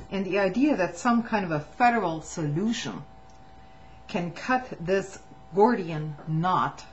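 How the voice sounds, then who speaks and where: A woman reading a written political statement aloud in a measured, deliberate and formal manner. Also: fairly low pitch. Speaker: reader of a testimony. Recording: dull, noisy lo-fi recording.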